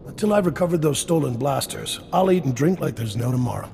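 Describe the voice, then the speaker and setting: A man playing a gruff, wry and harried underworld boss in a video game. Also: mid-range voice, expressive, fast talker. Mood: hearty, wry. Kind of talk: scripted video game acting. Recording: game music behind the voice.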